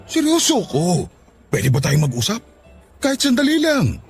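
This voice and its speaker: Wildly swooping, excitable pleading man. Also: sits high, normal speed, full character voice. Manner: coaxing, excitable. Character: pleading middle-aged male ex, cheeky and eager. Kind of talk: Tagalog radio drama acting. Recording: music bed behind voice.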